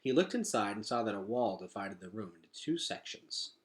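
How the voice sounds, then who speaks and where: A man reading a children's story aloud as a teacher, with expressive, dramatic emphasis. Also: lowish voice, a little fast.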